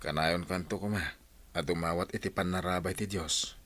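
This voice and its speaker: A man's voice: low voice, steady tone, normal speed, a bit bright. A man playing a devoted, earnest father in an Ilocano village radio drama. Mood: tender, concerned. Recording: music under the voice.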